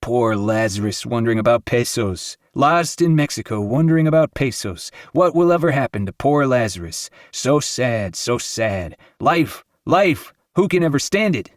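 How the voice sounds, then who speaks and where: A man's voice: mid-range voice, animated, normal speed, few pauses, no room sound, clean studio sound, pitched above the performer's own voice, close to an everyday voice. A man voicing a sorrowful young male poet who laments theatrically. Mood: mournful. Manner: lamenting, mournful.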